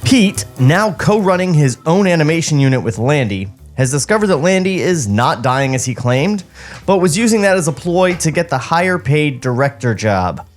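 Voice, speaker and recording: A man's voice: fairly high pitch, sing-song, moderate pace. Sardonic man podcasting over background music. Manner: mocking, sardonic. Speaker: podcast co-host reading a script aloud. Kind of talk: casual comic banter and script table read. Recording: music playing behind the voice.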